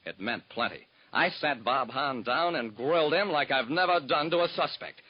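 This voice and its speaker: A man's voice: mid-range voice, lively intonation, normal speed, breathy edge. An actor playing a private detective who narrates his own cases in wry, hard-boiled first person, in 1940s radio drama style, on a dull lo-fi old recording.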